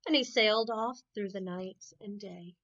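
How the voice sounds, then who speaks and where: A woman reading children's bedtime stories aloud in an expressive storytelling manner, with exclamations and asides. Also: medium pitch, moderate pace, muffled recording. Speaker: camp unit head reading bedtime stories. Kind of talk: animated picture-book read-aloud for children.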